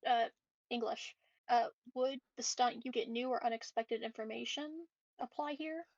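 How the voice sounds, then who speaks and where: A woman's voice: a little high, normal speed, creaky, darkish tone, no echo, muffled recording. A woman playing a tabletop game, talking casually and hesitantly as she checks the rules.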